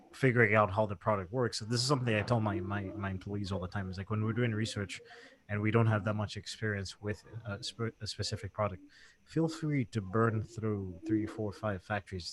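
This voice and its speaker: A man hosting a casual livestream interview, talking in a relaxed, chatty way with many fillers and restarts. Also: on the low side, normal speed, a crowd in the background. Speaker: interview host.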